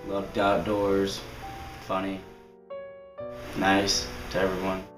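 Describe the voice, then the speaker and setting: Man, 20s, deep monotone voice, halting interview talk, roomy sound, music underneath. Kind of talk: documentary interview.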